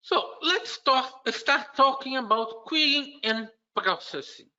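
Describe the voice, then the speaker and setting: Slow Brazilian-accented man giving a tech talk. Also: very high voice, animated, bright, forceful tone, dull audio. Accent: Brazilian Portuguese. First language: Portuguese. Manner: unhurried, halting explanation with frequent "uh" fillers and restarts. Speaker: conference speaker. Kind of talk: technical conference presentation.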